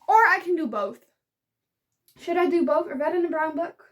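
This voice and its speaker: A young woman whose first language is Dutch, with a notably high voice. She talks casually and enthusiastically, rambling and giggling as she recommends books in a relaxed vlog. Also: normal speed. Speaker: book vlogger. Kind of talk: casual book review vlog.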